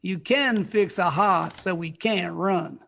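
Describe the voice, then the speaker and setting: A man playing a sly, murderous outlaw gunman in a western audio drama, with an American Western drawl and gravelly, mocking delivery. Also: high voice, takes it slowly, a bit bright, heavily acted. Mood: sly menace.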